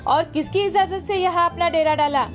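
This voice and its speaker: A woman's voice: very high-pitched, normal speed, faint music behind. A woman playing a stingy, quarrelsome old village woman in a cartoon moral story, scolding and suspicious. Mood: scornful, angry. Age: older.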